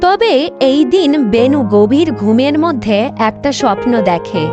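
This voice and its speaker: A woman narrating a scripted fairy-tale cartoon in an expressive storytelling manner. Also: high-pitched, unhurried, music in the background.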